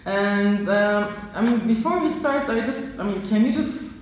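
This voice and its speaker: A woman's voice: mid-range voice, a little slow. Hesitant woman interviewer in an echoey room. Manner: hesitant, with many ums and I means. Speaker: interviewer, association coordinator. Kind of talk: conversational interview. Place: office. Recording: very echoey office with faint noise.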